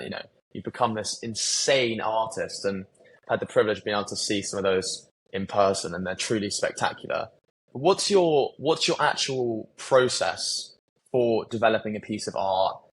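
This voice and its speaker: A man's voice: fairly low pitch, slight background noise, rough recording. A man hosting a podcast, asking eager questions with a light, jokey touch. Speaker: podcast host. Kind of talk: casual podcast conversation.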